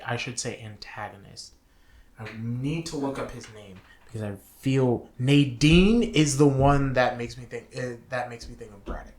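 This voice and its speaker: Male show co-host, unhurried and halting, chatting casually in an echoey room. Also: medium pitch. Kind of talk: casual film and game review discussion. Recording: roomy, echoing sound.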